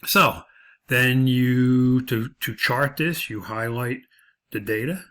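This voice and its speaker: A man's voice: mid-range voice, takes it slowly, a bit bright. A man giving an off-the-cuff tutorial as an instructor, explaining step by step and rephrasing his points. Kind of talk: off-the-cuff tutorial walkthrough of a spreadsheet.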